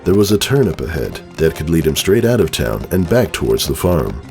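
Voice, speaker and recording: Male narrator, low pitch, highly animated dramatic reading, faint music behind. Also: brisk. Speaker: fan fiction narrator.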